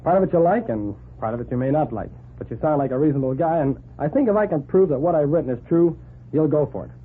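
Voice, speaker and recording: An actor in a 1940s radio drama playing a brisk, persuasive, self-assured newspaper reporter. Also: male voice, a little high, expressive, breathy edge. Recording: narrow, noisy 1940s radio recording.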